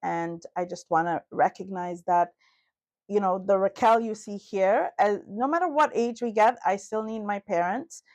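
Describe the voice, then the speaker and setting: Lively Trinidadian woman co-host. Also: medium pitch. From Trinidad and Tobago. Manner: animated, warm, quick asides, often "you know". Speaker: podcast co-host. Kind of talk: casual chat.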